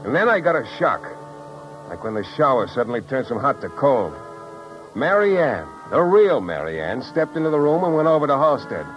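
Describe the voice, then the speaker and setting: A man's voice: medium pitch, natural voice. An actor playing a hard-boiled, wisecracking private detective, with wry, clipped tough-guy delivery in a 1950s radio drama style. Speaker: lead actor and narrator. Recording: dull old 1950s radio recording.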